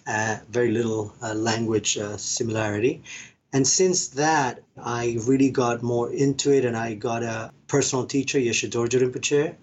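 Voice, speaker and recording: Husky middle-aged man from Argentina, slightly muffled. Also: mid-range voice. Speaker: podcast guest, meditation teacher and professor. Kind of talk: reflective personal storytelling in an interview. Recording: slightly dull, band-limited sound.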